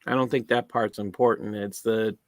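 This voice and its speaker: A man's voice: on the low side, steady tone, normal speed, no room sound. Wry man with dry remarks. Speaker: co-host. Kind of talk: casual discussion.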